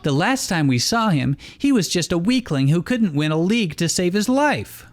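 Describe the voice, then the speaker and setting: A man's voice: high voice, very animated, normal speed, pitched above the performer's own voice. A man voicing a fiery, spiteful young woman trainer with irritable, snarling retorts in a story reading. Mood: scornful.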